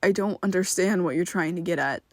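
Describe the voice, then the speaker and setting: A woman voicing a secretive, protective young male vampire best friend in an ASMR roleplay, talking haltingly and often dropping to a whisper. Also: on the low side, dry recording, studio quality, close to an everyday voice. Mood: pleading.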